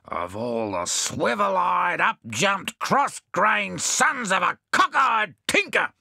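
A man's voice: a little high, big pitch swings, measured pace, bright, forceful tone, breathy edge, no echo, studio quality, pitched above the performer's own voice, exaggerated voice. Man acting a blustering, hot-tempered storybook adventurer. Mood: boiling rage. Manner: roaring, indignant, colourfully abusive.

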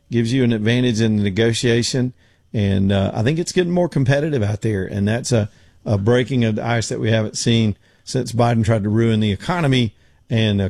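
A man hosting a talk radio show, hoarse and rambling, with lots of fillers and restarts. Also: fairly low pitch, a little mellow, no echo, studio quality. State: getting hoarse. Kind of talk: casual talk radio with live read ads.

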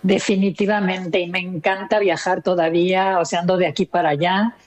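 Older Mexican woman, chatty and expressive. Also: fairly low pitch, fairly quick. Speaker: interview guest, retired listener. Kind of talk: casual conversational storytelling.

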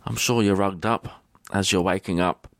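A man with an Australian accent hosting breakfast radio in a relaxed, chatty way, his voice a little croaky. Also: low-pitched, moderate pace, no echo, clean studio sound. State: slightly croaky voice. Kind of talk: casual radio chat.

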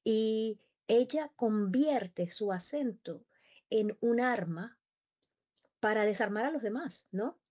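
A woman whose first language is Spanish tells stories with lively emphasis in a relaxed conversation. Her voice is mellow and warm rather than bright. The recording is slightly dull and lo-fi. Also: fairly high pitch, slow pace. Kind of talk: conversational interview.

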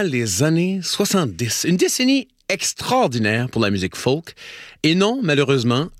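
A man in his forties with an Acadian French accent, hosting a radio show and telling a wry, playful anecdote with dramatic pauses. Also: a little high, fairly bright tone, no room sound, studio quality. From Acadian New Brunswick, Canada. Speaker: radio host. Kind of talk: radio storytelling.

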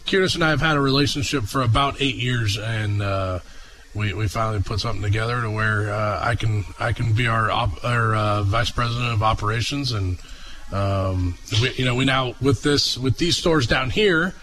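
A young man from Oklahoma, a dealership executive, answering a radio interview in a halting way with many filler sounds. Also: medium pitch, a bit bright, low-quality recording. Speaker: guest, auto dealership executive.

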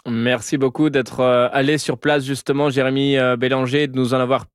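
A man anchoring a live news segment, wrapping up with a reporter in a courteous, measured way. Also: medium pitch, little pitch movement, clear, resonant tone, dry recording, clean studio sound.

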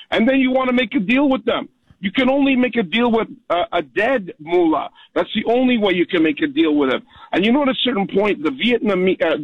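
A man's voice: high-pitched, gravelly, no room sound, dull audio. A man calling in to a talk radio show, rambling heatedly with many restarts and corrections. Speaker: caller.

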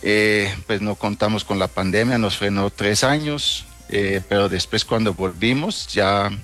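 A middle-aged man from the Netherlands, a native Dutch speaker, answering interview questions conversationally with halting, hesitant phrasing. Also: lowish voice, a bit bright, faint music behind. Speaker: interview guest, travel agency owner.

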